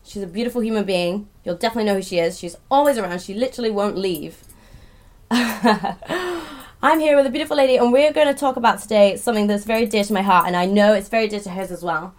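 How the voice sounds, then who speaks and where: A woman hosts her podcast with a croaky, hoarse voice left over from being sick. She chats in a loose, rambling, upbeat way. Her pitch sits in the middle of the range. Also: quick pace. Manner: bubbly, rambling, swears casually. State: hoarse from illness. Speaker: podcast host. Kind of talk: casual podcast chat.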